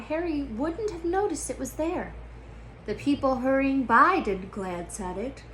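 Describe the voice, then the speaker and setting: A woman storyteller narrates a book aloud with expression, her voice on the high side. There is clear noise in the recording. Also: a bit bright, poor audio.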